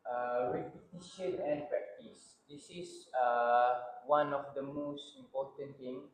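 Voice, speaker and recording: A young man with a Malaysian English accent speaks as a panelist in a student panel discussion, halting and pausing to find his words. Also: medium pitch, slight background noise. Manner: hesitant, halting, many filler sounds. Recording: roomy echo.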